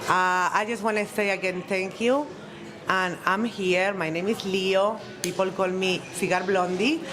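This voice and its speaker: A woman's voice: medium pitch, fairly even intonation, measured pace. A woman speaking as a panel guest, earnest and heartfelt, in slightly non-native English. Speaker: guest, cigar company CEO. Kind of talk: casual panel speech. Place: cigar bar, live event. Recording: loud music in the background.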